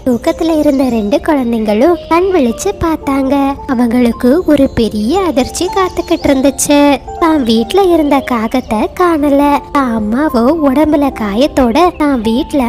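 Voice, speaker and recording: A woman with a high but mellow, warm voice narrating a children's cartoon story steadily. Gentle music plays underneath. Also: normal speed.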